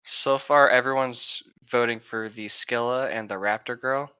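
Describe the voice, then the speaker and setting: A man's voice: medium pitch, steady tone, measured pace, bright, forceful tone, clean tone, no room sound. A man chatting casually as a podcast co-host, slangy and crude in his joking, on a lo-fi microphone. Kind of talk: casual chat.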